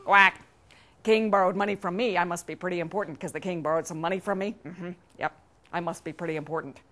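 A woman's voice: fairly low pitch, steady tone, fast, sharp tone. A proud, kindly duck from a storybook, acted out by a woman storyteller. The duck quacks before speaking, then mumbles and dithers through each thought. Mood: self-important.

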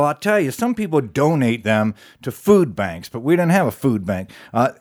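A man with a slightly high voice performs live as a storyteller. He spins a tall tale in a folksy, conversational manner, turning to his listeners with animated asides. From West Virginia, United States. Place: recorded live in a studio.

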